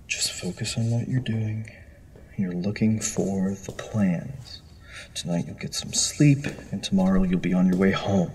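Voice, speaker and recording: An actor playing an anxious, overworked architect in an audio horror drama, muttering to himself in short halting phrases. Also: male voice, low voice, darkish tone, a little hiss or hum. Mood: panicky.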